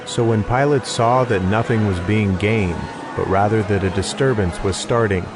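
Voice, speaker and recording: A man's voice: lowish voice, moderate pace. A man giving a scripted narration of an audio Bible. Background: music behind the voice.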